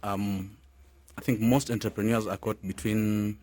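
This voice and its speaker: A man with a Kenyan accent, a guest in a radio studio, explaining branding in a measured way. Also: fairly low pitch, little pitch movement, darkish tone.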